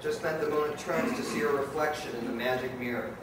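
A man's voice: fairly high pitch, fairly even intonation, fast. Male radio play narrator, very echoey hall. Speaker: narrator and director. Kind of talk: dramatic scripted narration of live radio play. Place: event hall before an audience. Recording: very echoey, distant, noisy live hall.

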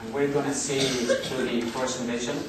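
A man in his thirties speaks English with a Spanish accent, giving a conference talk in a halting way, full of "uh" and restarts. He is heard from far back in a large, echoey hotel hall, with clear room noise behind him. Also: medium pitch, level tone. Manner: halting, many fillers and restarts. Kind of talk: business presentation. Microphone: far camera in the audience.

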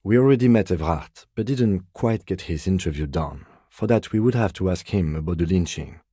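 A man playing a composed, meticulous police lieutenant detective in a video game, speaking in a precise, deadpan, politely reserved way. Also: low-pitched, moderate pace, husky, no room sound, studio quality. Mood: businesslike. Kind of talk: video game character dialogue.

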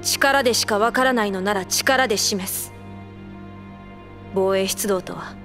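An actress voicing a stern male carrier captain, measured and commanding, over music. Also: medium pitch, close to an everyday voice. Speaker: narrator and captain.

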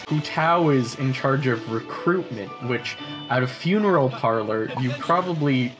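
A man's voice: mid-range voice, low-quality recording. Chatty young man over game music. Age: young adult. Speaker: gaming video host. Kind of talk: casual gameplay commentary. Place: indoor room, in front of green screen.

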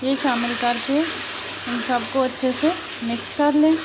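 A woman, calmly explaining each cooking step as a recipe voice-over. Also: high voice, takes it slowly, background noise. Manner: calm, instructive, points things out to viewer. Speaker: cooking channel host. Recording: loud frying sizzle behind voice.

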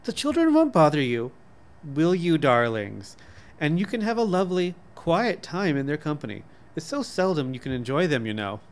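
A man's voice: a little high, big pitch swings, moderate pace. Breezy, cheerful wife read by a man. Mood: breezy, reassuring. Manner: breezy, reassuring. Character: brisk, cheerful wife and mother.

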